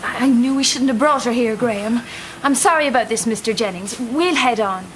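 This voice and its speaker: A woman's voice: a little high, brisk, a little hiss or hum, natural voice, poor audio. A woman playing an anxious, apologetic daughter. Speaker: Missus Bracken, the daughter. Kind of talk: radio drama acting.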